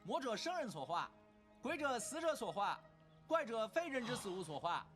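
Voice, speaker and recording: A man playing a cocky, clever teenage disciple in an animated drama, glib and bold. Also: very high-pitched, normal speed, full character voice. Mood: confident. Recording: music behind dialogue.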